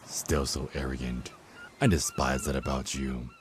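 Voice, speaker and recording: A very deep, sneering villainous archangel. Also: male voice, a little slow, slight background noise. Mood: sneering contempt. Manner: mocking, sneering, theatrical. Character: cruel, contemptuous male Archangel of Death. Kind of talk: dramatic villain monologue in audio roleplay.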